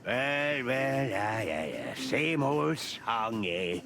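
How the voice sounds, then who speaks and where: A man's voice: mid-range voice, big pitch swings, drawn-out words, vocal fry, heavily acted. A weary old fellow from a noir game, wistful and fatherly. His folksy speech rises and falls expressively over a music bed. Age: older. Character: weary, kindly old male animal informant in noir. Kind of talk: film-noir video game acting. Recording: music under the voice.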